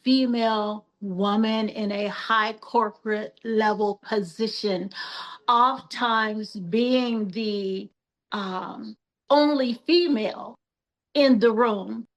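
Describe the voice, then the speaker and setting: Slow, soft-spoken older woman. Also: on the high side, muffled recording. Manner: soft-spoken, measured and earnest, with pauses and fillers. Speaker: podcast guest. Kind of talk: reflective interview talk.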